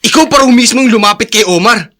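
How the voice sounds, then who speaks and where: Jealous young Filipino man, very high sharp voice, confrontational, radio drama. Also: fast. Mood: jealous, accusing. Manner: confrontational, accusing. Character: jealous, defiant young son and possessive boyfriend.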